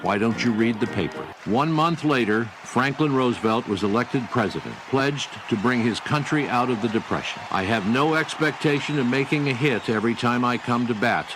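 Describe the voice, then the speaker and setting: A man narrating a history documentary in a measured, unhurried scripted style. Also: mid-range voice, background noise. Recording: music under narration.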